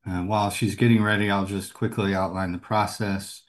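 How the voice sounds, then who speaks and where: A man with a very deep, flat voice runs an online meeting. He pauses often and fills the gaps with ums and uhs. His tone stays level as he explains the process. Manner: hesitant, many fillers. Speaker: meeting moderator.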